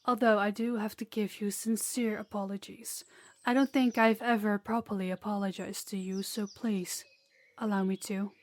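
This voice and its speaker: A woman's voice: medium pitch, steady tone, natural voice. Woman acting as an earnest, gracious fantasy queen. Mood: apologetic, earnest. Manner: formal, measured, sincere. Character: earnest, remorseful queen of a kingdom, gracious and formal. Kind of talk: scripted fantasy audio roleplay.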